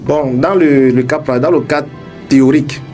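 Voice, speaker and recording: A young man, an English speaker by birth, guesting on a radio interview and talking quickly with many halting restarts. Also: fairly high pitch, steady tone, darkish tone, faint music behind. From Cameroon. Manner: fast, halting talk with many restarts and repeated words. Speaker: radio guest, crypto entrepreneur. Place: radio studio.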